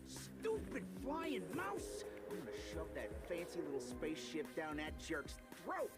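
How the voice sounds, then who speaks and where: Raphael, brash hot-headed turtle. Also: male voice, a little high, wildly swooping pitch, unhurried, over loud music, heavily acted. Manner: brash, sarcastic, streetwise.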